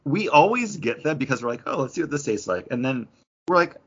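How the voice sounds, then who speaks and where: A man's voice: mid-range voice, sing-song, brisk. A man co-hosting a casual podcast, chatty and opinionated, acting out little quotes as he talks. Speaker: podcast co-host. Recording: dull lo-fi audio.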